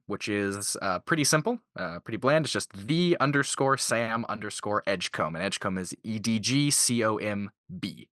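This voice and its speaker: A man's voice: lowish voice, bright, forceful tone, dry recording, studio quality. A man being interviewed as a voice actor, talking casually and informally with enthusiasm.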